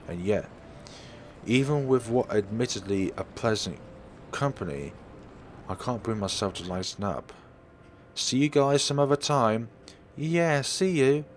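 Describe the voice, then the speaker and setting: Low-voiced man reading a story aloud. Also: a little hiss or hum. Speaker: let's play host reading a visual novel aloud. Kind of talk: dramatic first-person narration read aloud.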